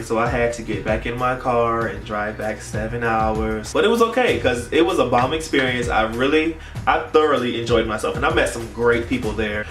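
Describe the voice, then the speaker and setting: Young adult American male vlogger, animated storytime delivery, roomy sound with faint music underneath. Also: mid-range voice. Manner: animated storytelling, acting out quoted dialogue. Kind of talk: casual storytime chat. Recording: roomy echo, distant mic, faint music.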